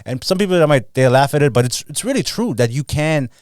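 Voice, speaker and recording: A man's voice: a little high, animated, fast, a little mellow, no room sound, studio quality. A man in his thirties co-hosting a podcast, chatting in an upbeat way and often saying "you know" and "dude". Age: 30s. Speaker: podcast co-host. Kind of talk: casual motivational chat.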